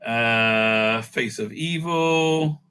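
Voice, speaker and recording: A man giving casual, rambling fan commentary as he ranks things, excitable and sweary, sometimes muttering to himself. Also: mid-range voice, drawn-out words, smooth. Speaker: host of a fan ranking show.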